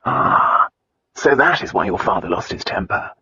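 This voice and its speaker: An actor playing a tender, commanding husband in a romance audiobook, speaking earnestly and insistently. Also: male voice, low voice, sing-song, moderate pace, pushed and bright, highs cut off, slight background noise, full character voice. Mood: gently curious.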